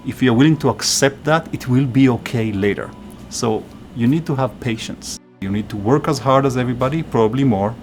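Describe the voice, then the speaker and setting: A man's voice: medium pitch, fairly quick, faint music behind. A man speaking earnestly as a mentor in a documentary interview, giving emphatic advice. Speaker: physician-scientist mentor.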